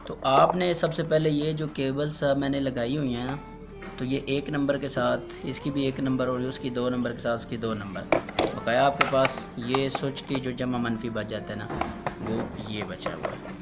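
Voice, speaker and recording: A man's voice: medium pitch, fairly even intonation, normal speed. Male tutorial voice over prominent music. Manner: repetitive, instructive, addressing viewers as friends. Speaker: electrician giving a tutorial. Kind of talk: casual step-by-step how-to demonstration. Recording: loud music behind the voice.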